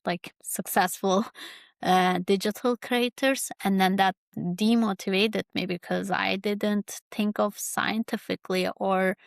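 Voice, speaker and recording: A young woman talks slowly through a personal story, her voice carrying a gravelly fry. She keeps a fairly level tone and stops often, trailing off and restarting. The sound is close and dry. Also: mid-range voice, steady tone, dry recording. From Kurdish region of Turkey. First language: Kurdish. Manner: hesitant, many fillers and restarts. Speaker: podcast host.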